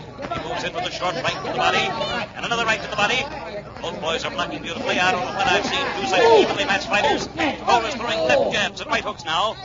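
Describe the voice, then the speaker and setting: An actor playing an excited ringside announcer calling a school boxing match in dramatic 1940s radio style. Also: male voice, sits high. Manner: rapid-fire, dramatic, emphatic repetition. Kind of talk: 1940s radio blow-by-blow commentary. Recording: muffled, noisy 1940s radio, roaring crowd.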